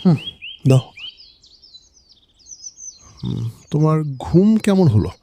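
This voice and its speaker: A lovestruck young man in a cartoon romance, with a fairly high voice that swings dramatically in pitch. He is tender, bashful and earnest, full of curiosity. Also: big pitch swings, slow, vocal fry, warm tone, slight background noise, exaggerated voice. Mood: warm, playful. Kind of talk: Bengali animated love story dialogue.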